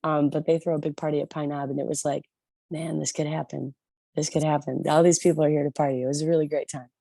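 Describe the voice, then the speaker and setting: A woman from the Detroit area with a notably low, gravelly voice talks casually as an interview guest. She rambles with fillers and restarts. The sound is dry and close. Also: little pitch movement, quick pace, dark tone, no echo. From Detroit area, USA. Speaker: rock band lead singer, guest.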